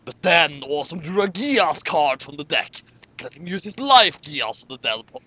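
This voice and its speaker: Male comedy reader, bright forceful voice, swinging intonation, mocking asides, stumbling and repeating lines. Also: fairly high pitch, sing-song, moderate pace, no echo. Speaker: host of a comic fan fiction reading. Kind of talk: dramatic reading with mocking commentary.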